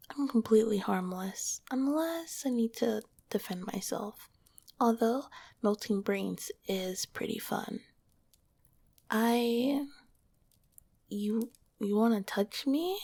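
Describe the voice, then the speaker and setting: A gentle slime monster girl whispers close to the ear, as a woman acts her out, her breathy voice catching in a gravelly fry. She teases a little, then turns tender and comforting. The sound is dry and intimate, as in an ASMR roleplay. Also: mid-range voice, no echo. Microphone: close mic.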